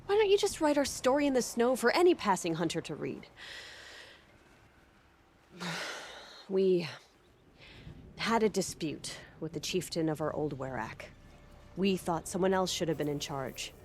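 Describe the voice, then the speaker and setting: Bossy young huntress, sarcastic, voice jumps around a lot, faint ambience behind. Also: female voice, on the low side, sing-song, measured pace, long pauses, a little mellow, slight background noise. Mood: impatient, curt. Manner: curt, sarcastic, commanding. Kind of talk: video game cutscene acting.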